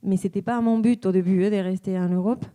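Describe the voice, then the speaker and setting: A woman from Argentina in her forties with an Argentine accent, a tango dancer and teacher, speaking in a thoughtful, halting way as she searches for words. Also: medium pitch, fairly even intonation, mellow. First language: Spanish. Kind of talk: personal reflective talk.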